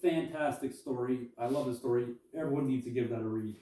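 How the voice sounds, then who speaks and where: A man's voice: medium pitch, fairly quick, dark tone, slight background noise. A man presenting as a collector and historian, reading articles aloud and adding informal commentary. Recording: echoey room, distant mic.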